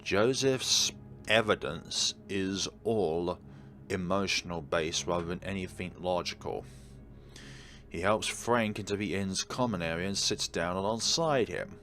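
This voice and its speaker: A man's voice: low voice, unhurried, a bit bright, faint music behind. A man reading game narration aloud as a let's play commentator, adding wry casual asides. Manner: wry interjections, agreeing asides.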